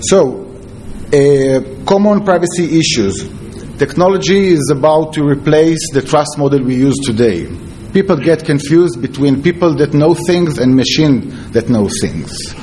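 A man with an Israeli accent giving a conference presentation as a security researcher, in halting, non-fluent English. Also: on the high side, dark tone, slight background noise. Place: conference talk before an audience.

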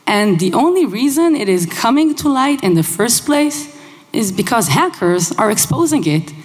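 A woman whose first language is Hebrew, giving a conference talk in a brisk, casual and emphatic way. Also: fairly high pitch, sing-song. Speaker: conference speaker. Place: large conference hall. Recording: long hall reverb.

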